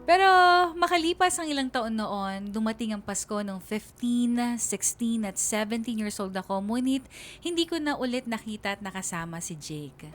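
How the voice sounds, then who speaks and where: A woman's voice: fairly high pitch, animated, moderate pace, close to an everyday voice. A woman playing a young woman narrating her own love story in a confiding radio drama style. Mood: wistful. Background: music under the voice.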